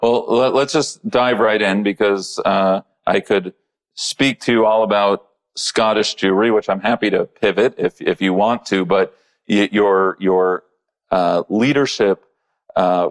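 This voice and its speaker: A man, a rabbi, hosting a podcast interview, speaking in a halting way with many pauses, fillers and restarts. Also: on the low side.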